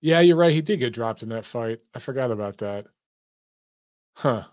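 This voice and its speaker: A man's voice: medium pitch, lively intonation, moderate pace, darkish tone, dry recording. A man from Detroit hosting a call-in sports show, talking in a chatty, emphatic way. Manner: chatty, emphatic, swears casually. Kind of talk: casual sports talk.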